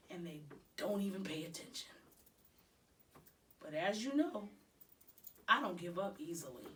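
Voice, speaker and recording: Slow-reading woman, distant mic. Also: fairly low pitch, a bit bright, slight background noise. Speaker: storybook reader. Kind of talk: expressive read-aloud of children's novel.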